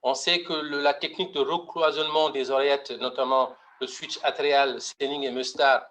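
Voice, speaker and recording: A man's voice: medium pitch, level tone, normal speed, a bit bright. A middle-aged man from Mauritania giving a medical webinar lecture, hesitant, with many fillers and restarts. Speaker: pediatric heart surgeon presenting.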